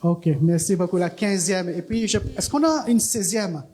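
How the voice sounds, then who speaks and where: A woman's voice: lowish voice, normal speed, dark tone. A woman hosting a church gathering, calling on people one by one with short acknowledgments. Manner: brief acknowledgments, frequent ok and laughs. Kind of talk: casual roll call of greetings. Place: church service.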